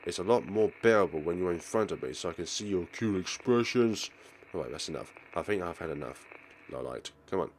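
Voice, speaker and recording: A man's voice: low-pitched, animated, fairly quick, slight background noise, low-quality recording. A man voicing a creepy, possessive and impatient male teacher in a visual novel.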